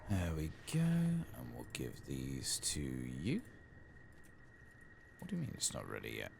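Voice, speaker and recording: A soft-spoken man who often drops into a whisper, muttering casually to himself as he commentates on a video game in an ASMR style. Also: extremely low pitch, wildly swooping pitch, measured pace, gravelly, noisy background. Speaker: host playing a video game.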